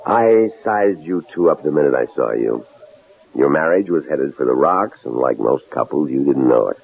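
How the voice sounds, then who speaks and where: An actor playing a shrewd middle-aged psychology professor in a radio drama, halting and avuncular, repeating his words. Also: a bass voice, animated, gravelly, full character voice. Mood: explaining, rueful. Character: shrewd older psychology professor, posing as menacing stranger. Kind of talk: 1970s radio drama acting. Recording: muffled, hissy old radio recording.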